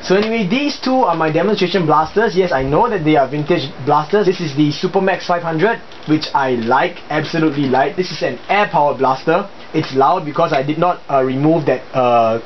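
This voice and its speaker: A man's voice: fairly high pitch, fairly quick, hardly any pauses. A young man from Singapore with a Singaporean accent, casually explaining his hobby gear in a chatty, hesitant way with many fillers. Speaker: hobbyist video host. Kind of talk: casual show-and-tell explanation. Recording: dull lo-fi home recording with faint hiss.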